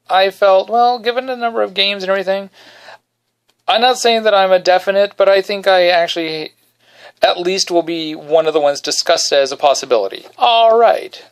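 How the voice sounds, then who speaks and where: A man tells a funny, self-deprecating story to his podcast listeners, singing short bits under his breath. He is recording at home in his bedroom. His voice is smooth and clear and sits a little higher than most men's. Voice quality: baritone. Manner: self-deprecating, animated storyteller who sings snippets. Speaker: podcast host. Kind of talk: casual solo podcast storytelling.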